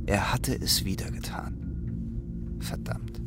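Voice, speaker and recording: An actor playing a bitter, desperate Cold War double agent who narrates and speaks in a radio crime drama. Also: male voice, a bass voice, sing-song, slow pace, gravelly, in a whisper, exaggerated voice. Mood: bitter, resigned. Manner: urgent, bitter, world-weary. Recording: music behind the voice.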